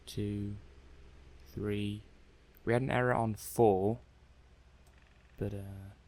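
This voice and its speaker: Guy playing a mild lab tech testing robots, asmr roleplay, lowish flat voice, mumbles hesitantly to himself. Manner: hesitant, muttering to himself, matter-of-fact. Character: mild, methodical male robot-testing technician. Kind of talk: soft-paced ASMR roleplay monologue.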